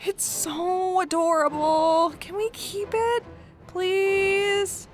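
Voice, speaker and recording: A woman playing a big, brash, overeager warrior girl in an audio drama, theatrical and blurting, gruff when she barks. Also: very high voice, fairly even intonation, extremely slow, soft background music, exaggerated voice. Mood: gushing. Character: large battle-scarred warrior girl, brash, overeager, childishly affectionate.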